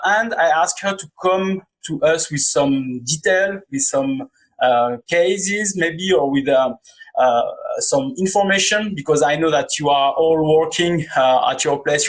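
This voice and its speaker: Male podcast host, thirties, slightly high voice, hesitant conversational interviewing. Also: normal speed, low-quality recording. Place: small indoor room, video call.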